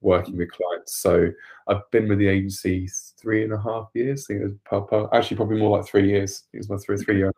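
Low flat-voiced Welsh man. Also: fairly quick. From Wales. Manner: relaxed, explanatory, some stumbles. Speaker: guest, agency director. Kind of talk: casual interview talk.

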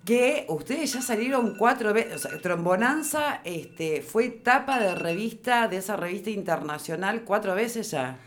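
A woman's voice: medium pitch, lively intonation, a little slow, hardly any pauses, a little hiss or hum. A woman with an Argentine Spanish accent, chatting casually as a radio interviewer.

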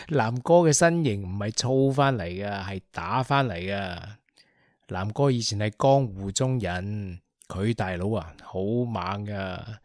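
A man's voice: on the low side, takes it slowly, dry recording, studio quality. A man with a Hong Kong Cantonese accent playing a talkative, teasing young office worker in a radio drama.

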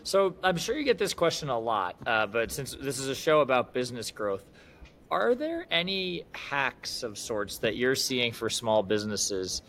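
A man's voice: medium pitch, very animated, normal speed, fairly bright tone, a little hiss or hum. Curious male podcast host. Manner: curious, asks long multi-part questions. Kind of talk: interviewing a guest.

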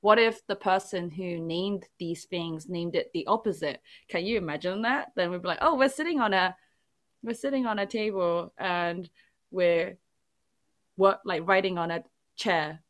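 A woman's voice: fairly low pitch, normal speed. A woman born in England whose first language was not English, chatting casually and telling a rambling story full of "like"s. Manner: animated storytelling, rambling with many "like"s. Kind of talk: casual chat.

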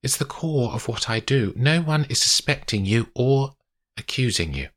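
A composed woman lawyer in an audio mystery story, as a man plays her, with a lifted pitch. She is tactful but direct, choosing her words with polite precision. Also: lively intonation, normal speed, a bit bright. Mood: firm, tactful.

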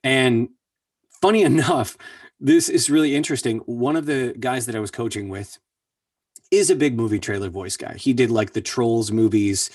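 A man's voice: medium pitch, normal speed, darkish tone, husky, no room sound. A man who works as a voice actor, talking in a casual interview and telling his story earnestly, repeating words for emphasis. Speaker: voice actor guest. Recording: distant mic, dry sound.

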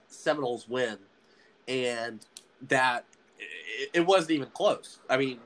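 Young man, podcast host, casual sports chat, halting, lots of fillers, mid-pitched voice. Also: a bit bright, low-quality recording. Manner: halting, many fillers and restarts.

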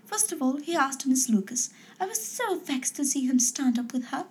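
A woman voicing an excitable middle-aged mother who chatters in a breathless, fluttery rush. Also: sits high, normal speed. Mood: gushing.

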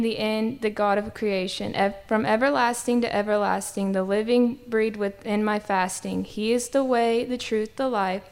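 A woman performs a devotional spoken-word poem, riding a rhythmic, rhyming cadence. Her voice sits a little high and comes through smooth and resonant.

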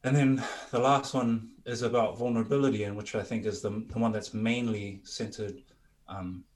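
A man with a fairly low voice, talking as a musician guest in a relaxed interview chat. He is reflective and candid, feeling his way through each thought in halting, careful phrases.

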